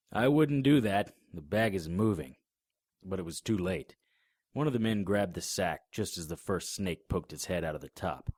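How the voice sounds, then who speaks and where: A man voicing a hard-boiled 1920s private eye, narrating in a laconic, deadpan noir style. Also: deep voice, no echo, clean studio sound. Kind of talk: first-person noir narration.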